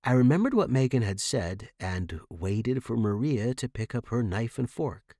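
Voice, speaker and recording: A man's voice: medium pitch, lively intonation, moderate pace, warm tone, no room sound. A man narrating a scripted story for a fiction podcast, recorded close in a dry space.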